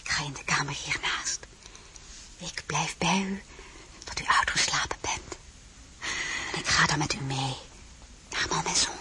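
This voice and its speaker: A woman's voice: low voice, unhurried, pauses often, pushed and bright, in a whisper. A woman playing a caring, plain-spoken princess at court in an audio drama, candid yet formally courteous. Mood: weary, hushed. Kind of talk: Dutch audio drama acting.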